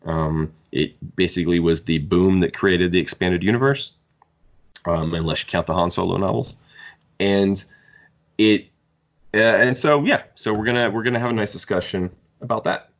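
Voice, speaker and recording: A man hosting a podcast, casually discussing books in a halting, opinionated fan's way. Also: low-pitched. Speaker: podcast host. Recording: dull lo-fi podcast audio.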